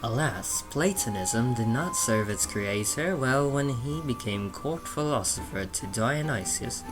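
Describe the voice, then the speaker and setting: A man's voice: mid-range voice, animated, a little slow. A man narrating a comic book dramatically, with theatrical, emphatic phrasing. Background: background music.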